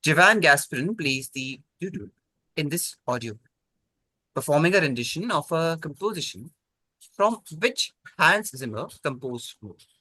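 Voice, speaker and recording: A man hosting a quiz, reading questions out in a measured, precise, polite way. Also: on the high side, lively intonation, sharp tone, dry recording, rough recording. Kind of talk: formal quiz question reading over an online call.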